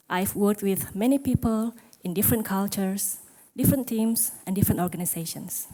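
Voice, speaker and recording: A woman's voice: medium pitch, dark tone. A middle-aged woman gives a conference talk, asking the audience questions and joking about herself. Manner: self-deprecating, asks the audience questions. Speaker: conference speaker. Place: conference hall.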